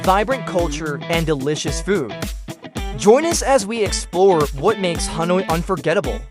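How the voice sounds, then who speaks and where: Theatrical host narrator, comedic script, lively swinging intonation, music underneath. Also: mid-range voice, moderate pace.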